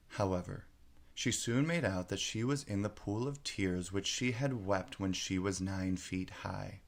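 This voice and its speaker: A man reading a children's story aloud as narrator, in a measured, expressive way. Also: fairly low pitch, gravelly.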